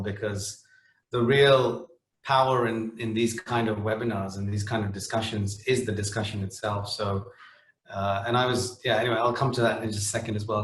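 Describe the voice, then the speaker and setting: A man's voice: on the low side, reverberant. A middle-aged man from the Netherlands speaking on a webinar panel, hesitant, with many restarts and fillers.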